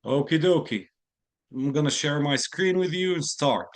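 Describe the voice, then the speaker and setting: A man's voice: on the high side, animated, normal speed. A man teaching an online English class, patiently repeating key phrases and explaining idioms, speaking into a computer mic. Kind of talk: online lesson, explaining slowly. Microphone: computer mic on a video call, camera off.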